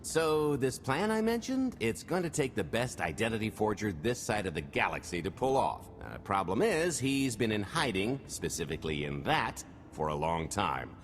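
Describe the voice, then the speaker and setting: An actor playing a smooth-talking roguish con artist in a video game, breezy, wry and sly. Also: male voice, lowish voice, big pitch swings, normal speed, faint music behind. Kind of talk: video game cutscene acting.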